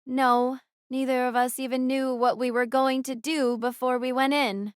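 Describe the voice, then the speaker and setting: A woman's voice: sits high, steady tone, moderate pace, smooth, no echo, studio quality, natural voice. A woman playing a defiant, brooding teenage girl who narrates her own story in an audio drama. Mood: uneasy. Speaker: narrator and lead actress.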